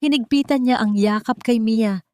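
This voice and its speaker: A woman's voice: a little high, moderate pace, mellow, no room sound, clean studio sound. A woman narrating a scripted romantic melodrama in a dramatic storytelling style.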